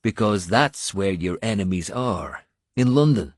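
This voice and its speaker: A man voicing a blunt, gruff Irish male warrior with an Irish accent, scolding and coarse. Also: fairly low pitch, animated, unhurried, no echo, studio quality.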